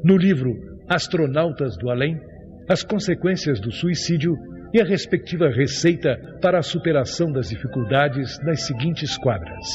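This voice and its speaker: A man reciting spiritist verses on a web radio program in a solemn, measured way. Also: on the high side, expressive, darkish tone, big-room sound, dull audio, faint music behind. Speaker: radio announcer.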